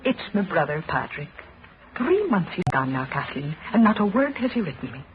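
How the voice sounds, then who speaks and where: An actress playing an anxious, sorrowful village neighbour with an Irish accent in old-time radio drama style. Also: female voice, fairly low pitch, sing-song, a little fast. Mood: coaxing, anxious. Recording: old radio recording, muffled, faint hiss.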